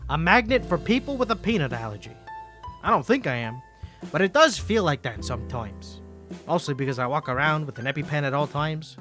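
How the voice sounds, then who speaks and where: A man's voice: medium pitch, close to an everyday voice. A man playing a suave, flirty mafia boss in a cartoony 1950s voice, speaking politely and self-assuredly in a scripted roleplay. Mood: smooth, self-assured.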